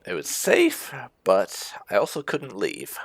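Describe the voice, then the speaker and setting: Slow, creaky-voiced man doing game commentary. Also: medium pitch, huge pitch swings, no echo. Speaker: gamer roleplaying as his character. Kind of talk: casual let's play commentary, speaking in character.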